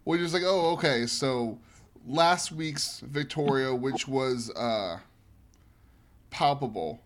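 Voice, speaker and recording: A man's voice: mid-range voice, slow, slight background noise. A man co-hosting a podcast in casual chat, recapping a reality show in an emphatic, opinionated way. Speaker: podcast co-host.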